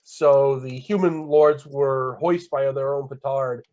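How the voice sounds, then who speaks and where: Guest man in his fifties, steady mid-pitch voice, measured and hesitant, muffled lo-fi sound. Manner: measured, explanatory, hesitant with fillers. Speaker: guest author. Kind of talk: casual chat, explaining lore.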